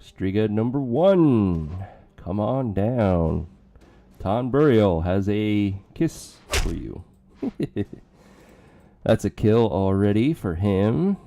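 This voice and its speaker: A man casually commentating a strategy game with joking asides, his voice going hoarse. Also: lowish voice, lively intonation, very slow, dark tone, slight background noise. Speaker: let's play commentator.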